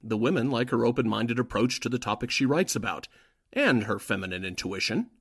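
An eager male informant played by a man in a wartime radio thriller, respectful to his superiors and quick to fluster. His mid-pitched voice is a little husky and jumps about expressively. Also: animated, fairly bright tone. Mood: deferential, earnest. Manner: deferential, earnest, easily flustered. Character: eager, deferential male informant for Nazi agents. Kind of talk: 1940s radio drama acting.

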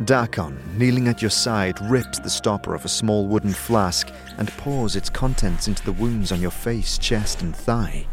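A man narrating a fantasy audio drama with measured, theatrical storytelling in a dramatic scripted style. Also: lowish voice. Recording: prominent music behind the narration.

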